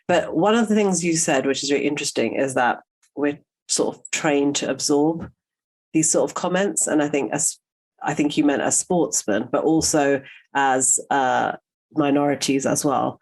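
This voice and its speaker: Gravelly-voiced thoughtful woman host. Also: sits low, normal speed, a little mellow. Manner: thoughtful, searching, with fillers. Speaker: podcast host. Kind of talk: conversational interview.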